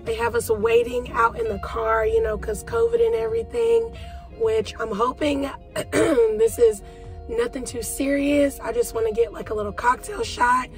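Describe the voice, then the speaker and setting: A young woman talks straight into her phone from a parked car, chatty and familiar, calling her viewers y'all. Her voice sits a little high but sounds stuffed up and half gone from a cold. Soft music runs faintly underneath. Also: expressive, normal speed. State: congested with a cold. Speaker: vlogger. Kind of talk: casual vlog chat.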